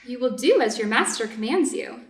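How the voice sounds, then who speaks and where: Woman acting a high-voiced, anxious doctor's wife. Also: lively intonation, moderate pace, close to an everyday voice. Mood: firm. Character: anxious, dutiful Japanese doctor's wife, mistress of servants. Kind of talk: staged readers' theater acting. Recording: echoey room, distant microphone.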